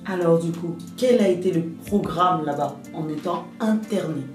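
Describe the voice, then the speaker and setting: A woman hosting an interview, asking gentle, probing questions of her guest. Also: on the low side, normal speed, over music. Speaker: host. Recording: roomy, from a distance.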